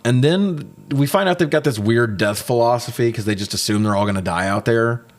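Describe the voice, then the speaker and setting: A man hosting a movie review podcast, recapping the film casually with opinionated, sweary commentary and animated retelling. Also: medium pitch, brisk.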